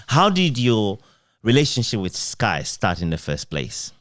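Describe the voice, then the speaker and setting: Male interviewer, lowish voice, conversational delivery, close dry recording. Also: huge pitch swings, measured pace, fairly bright tone, no echo. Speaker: radio interviewer.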